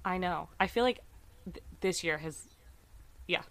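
Bright, slightly raspy woman podcast host. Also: lowish voice, a little slow. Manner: chatty, many fillers, earnest about cases. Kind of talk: casual true crime podcast chat.

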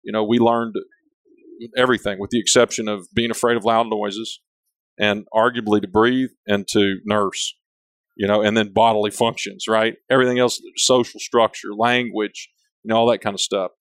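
A man's voice: on the low side, little pitch movement, moderate pace, a bit bright, no room sound. A man with a big voice and big personality, talking candidly in a casual interview, rambling and often restarting his sentences. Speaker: company leader, interview guest.

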